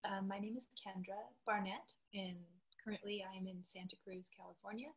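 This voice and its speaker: A woman's voice: mid-range voice, level tone, fairly quick. A woman from Canada introducing herself casually as a participant on a video call. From Northwest Territories, Canada. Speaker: meeting participant.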